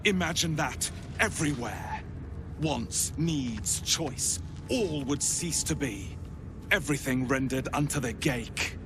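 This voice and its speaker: An imperious githyanki inquisitor. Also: male voice, mid-range voice, expressive. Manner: measured, imperious and condescending. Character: menacing male githyanki inquisitor, cold and imperious. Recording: game audio with music behind.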